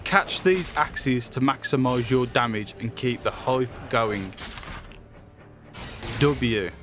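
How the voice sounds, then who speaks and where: Man, scripted promo narration, showcase presenter, brisk-flowing but slightly slow delivery, faint music underneath. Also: medium pitch. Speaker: presenter of a game character showcase.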